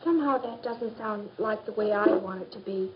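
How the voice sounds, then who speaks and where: An American woman acting as a hesitant, questioning teenage high-school girl in a 1950s educational film. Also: normal speed, very noisy, lightly acted. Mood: doubtful. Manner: questioning, uncertain. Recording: dull, muffled 1950s film soundtrack.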